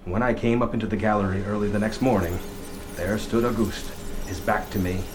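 Man playing haunted lighthouse keeper, lowish voice, suspenseful horror narration, radio drama, clearly noisy background. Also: fairly even intonation, brisk, lightly acted. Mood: horror-struck.

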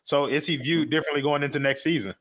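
A man giving measured, casual sports analysis as a podcast panelist. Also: fairly high pitch, steady tone, a bit bright, poor audio.

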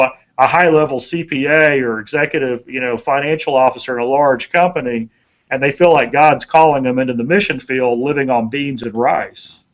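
Guy doing folksy motivational podcast advice, earnest, average pitch, bit muffled recording. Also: fairly bright tone. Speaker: podcast co-host and motivational speaker.

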